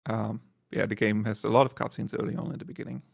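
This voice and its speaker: A man with a lowish, creaky voice casually explains a game run as co-commentator. His sound is close and dry, with no room around it. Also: flat delivery, quick pace, dry recording. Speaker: speedrun co-commentator.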